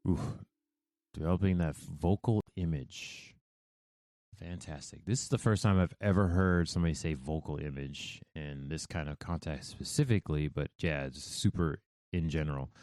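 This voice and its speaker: Animated podcast host man, dry close sound. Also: low-pitched, no room sound. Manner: animated, loose and profane, speaks fast. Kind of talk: casual commentary.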